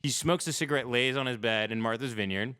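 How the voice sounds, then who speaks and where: A man's voice: mid-range voice, quick pace, bright, forceful tone, clean tone, dry recording, studio quality. A man hosting a livestream, ranting about politics in a blunt, sardonic, casual way with plenty of swearing. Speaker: livestream host. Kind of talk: opinionated casual political rant.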